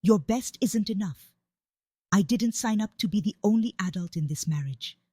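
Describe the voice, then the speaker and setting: A woman's voice: medium pitch, lively intonation, normal speed, dark tone, no echo, clean studio sound, lightly acted. A woman storyteller voicing a scornful, sarcastic wife who is fed up with her husband. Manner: sarcastic, snapping, cutting.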